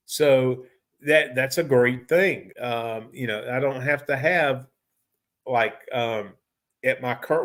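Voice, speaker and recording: A folksy middle-aged man at the front of a repair technicians' training class, haltingly talking colleagues through a job and circling back over his phrases. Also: medium pitch, a bit bright. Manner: folksy, halting, repeats and rephrases himself. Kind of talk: informal training lecture.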